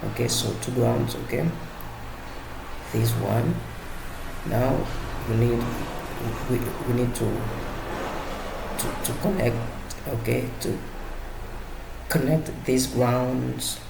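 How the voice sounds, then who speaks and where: A man giving a casual, unscripted hands-on tutorial as presenter, halting as he searches for words and repeats himself. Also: medium pitch, fairly even intonation, gravelly. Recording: roomy echo, faint background noise.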